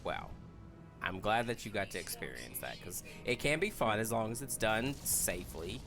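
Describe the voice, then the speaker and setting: A man's voice: fairly low pitch, sing-song, normal speed, over music. A man hosting a casual group podcast, steering the conversation and prompting the others. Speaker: podcast host.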